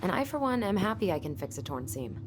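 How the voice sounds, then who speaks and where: A woman's voice: mid-range voice, sing-song, fast talker, a little hiss or hum, natural voice. An actress playing a protective, pragmatic young American woman in a dramatic video game, wry and earnest in manner. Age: young adult. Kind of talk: naturalistic dramatic game acting.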